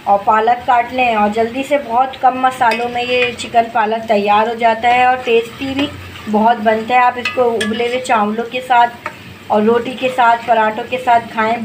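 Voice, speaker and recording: A woman's voice: a little high, flat delivery, measured pace. A woman, hosting a home cooking video and explaining each step to her viewers in a homely, instructive way, recorded on a phone over the stove in her kitchen. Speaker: cooking channel host. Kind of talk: home cooking tutorial narration. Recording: noisy with frying sizzle behind. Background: sizzling and stirring in a pot.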